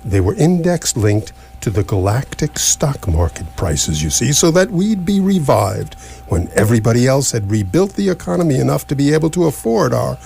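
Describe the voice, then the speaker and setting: An actor in live radio theatre playing an ancient, absent-minded alien planet-builder, stately and portentous yet mildly apologetic. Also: male voice, medium pitch, very animated, normal speed, vocal fry, dark tone. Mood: chatty, wistful. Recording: noisy live stage recording with hum.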